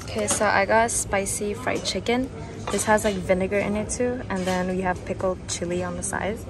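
A young woman talks through her day on a handheld phone camera, chatty and easily excited. Her voice sits in a middle range for a woman. Loud music plays underneath. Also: mid-range voice, moderate pace. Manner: chatty and excitable, exclaiming often. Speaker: vlogger. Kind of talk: casual travel vlog narration.

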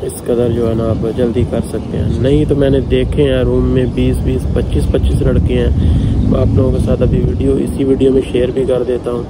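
A man's voice: mid-range voice, little pitch movement. A man, earnestly giving cautionary advice in a casual outdoor vlog recorded on a phone. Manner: earnest, cautionary, halting with fillers. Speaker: vlogger. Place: outdoors in a city. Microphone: phone camera at arm's length. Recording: loud traffic and street noise.

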